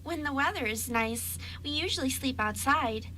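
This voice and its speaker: A woman's voice: sits high, normal speed, pushed and bright, a little hiss or hum, rough recording. A woman playing a tiny, polite, cheerful female fairy in an amateur radio drama. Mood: polite, sweet.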